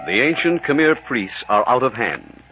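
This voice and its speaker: A man's voice: medium pitch, big pitch swings, a little slow. A man announcing dramatically in the style of a 1940s radio serial. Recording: muffled vintage radio, faint noise.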